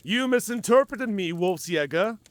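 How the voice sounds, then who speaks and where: A man's voice: sits high, animated, normal speed, slight background noise. A man playing a wounded, wry, talkative werewolf in human form, theatrical and bantering, in an audio drama. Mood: amused, teasing. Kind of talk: cinematic audio roleplay acting.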